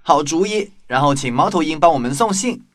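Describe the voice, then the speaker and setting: A man's voice: fairly high pitch, expressive, fairly quick, no echo, lightly acted. A man playing an earnest young fishing companion, expressively, in a children's radio drama. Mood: agreeable.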